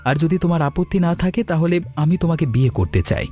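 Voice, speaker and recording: Man doing a polite earnest young guy in love, cartoon drama, expressive pitch, music under. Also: quick pace. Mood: tender, earnest. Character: sincere, courteous young male suitor with a good job. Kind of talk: Bengali animated story dialogue.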